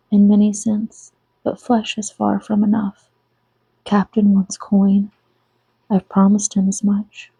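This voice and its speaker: A woman reading her own novel aloud in a soft-spoken ASMR style, slow and intimate, slipping into whispers. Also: mid-range voice, breathy edge, dry recording. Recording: dull lo-fi sound, close and dry.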